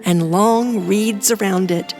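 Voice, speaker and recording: A woman's voice: medium pitch, slow, darkish tone. A woman narrating a children's fairy tale as a scripted storyteller. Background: music.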